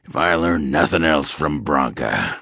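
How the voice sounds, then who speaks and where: A man playing a crude, boozy, belligerent dwarf warrior in a video game, with a raspy, guttural voice and a coarse, blustering, swaggering manner. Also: a bass voice, animated, unhurried, pushed and bright, no room sound, clean studio sound, exaggerated voice. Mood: dejected, resentful. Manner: coarse, blustering, swearing, slurred drunken swagger. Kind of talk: video game character acting.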